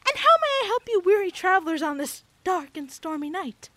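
A woman's voice: extremely high pitch, sing-song, a little fast, a bit bright, no echo, full character voice. An actress playing an eccentric, nosy woman who keeps a creepy motel, with a theatrical, flowery, singsong delivery in an audio drama. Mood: nosy, gushing.

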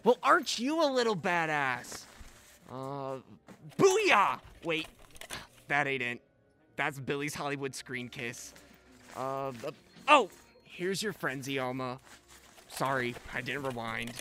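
An actor playing a goofy, laid-back young video store clerk who talks in casual slang in a comic audio drama. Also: male voice, mid-range voice, expressive, slow, long pauses, sharp tone, exaggerated voice. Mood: cheeky. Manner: casual slangy, dude-and-bro talk. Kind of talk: comedic audio drama acting.

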